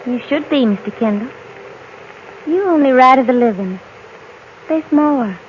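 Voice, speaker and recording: A woman's voice: high-pitched, expressive, a little slow. An actress playing a proud, strange, intense young frontier woman with a direct, challenging manner, in an old radio western. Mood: insistent. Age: young adult. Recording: dull, noisy old radio recording.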